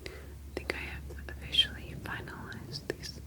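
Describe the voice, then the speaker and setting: A woman playing a calm, clinical ocean alien scientist in an ASMR sci-fi roleplay, whispering in a soft, formal, reassuring manner. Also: moderate pace, natural voice.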